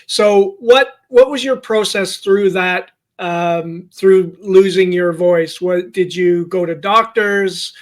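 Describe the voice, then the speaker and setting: A man's voice: sits high, slow, hardly any pauses. A man hosting a podcast, asking long, meandering questions full of fillers. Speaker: podcast host. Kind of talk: interview questions.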